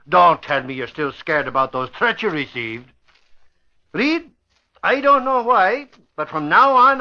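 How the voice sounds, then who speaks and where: High-pitched wisecracker, old radio. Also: male voice, exaggerated voice. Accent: American. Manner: teasing, wry. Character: brash, wisecracking man needling others in crime drama. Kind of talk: 1950s radio crime drama acting. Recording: muffled old radio broadcast recording.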